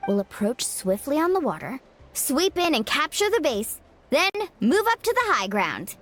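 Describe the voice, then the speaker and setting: A woman voicing a bubbly young woman surfer who leads her team in a video game with a peppy, shouty delivery. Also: sits high, big pitch swings, normal speed, soft background music. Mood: eager. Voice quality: peppy, shouty battle cries. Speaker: game character.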